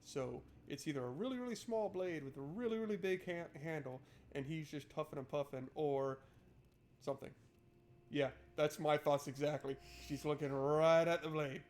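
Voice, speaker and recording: A man's voice: on the high side, moderate pace, darkish tone. A man doing a playful, wry let's play, switching between reading game text aloud and joking commentary. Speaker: gaming streamer.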